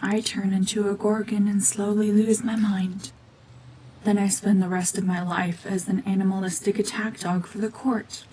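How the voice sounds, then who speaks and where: A woman voicing a cold, mean renegade gorgon witch in a hushed, half-whispered ASMR drama, domineering and sardonic. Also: medium pitch, flat delivery, moderate pace, a little hiss or hum, natural voice, low-quality recording. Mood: guarded, grim.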